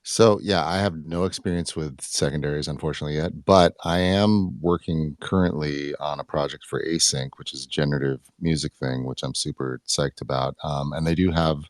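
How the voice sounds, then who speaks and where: Very deep-voiced man in casual chat. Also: fairly even intonation, moderate pace, hardly any pauses, dry recording, clean studio sound. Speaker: panel participant asking a question.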